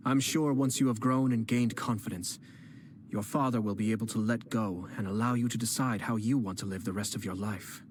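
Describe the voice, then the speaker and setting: Guy voicing a smooth scheming young noble, lowish calm voice, polite and measured, game music behind. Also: slight background noise, close to an everyday voice. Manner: measured, poised and courteous. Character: young noble clan head, calm, cunning strategist. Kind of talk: video game voice acting. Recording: game dialogue with music underneath.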